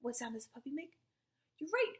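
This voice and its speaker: Very animated, high-voiced young woman reading to children. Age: young adult. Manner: animated, asks the child listener questions and pauses for answers. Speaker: reader of a children's picture book. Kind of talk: interactive storybook read-aloud for small children.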